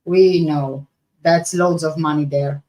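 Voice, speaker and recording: A woman from Romania with a Romanian accent chats casually as a livestream host, answering viewers in a halting way that often repeats and rephrases. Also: sits low, steady tone, mellow, highs cut off.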